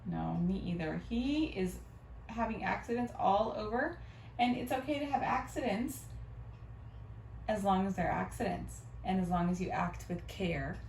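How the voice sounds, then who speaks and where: A woman reading a storybook aloud to children in an expressive, teacherly way. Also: medium pitch, a little slow, creaky. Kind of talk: animated read-aloud with questions to children. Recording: roomy, distant mic, faint noise.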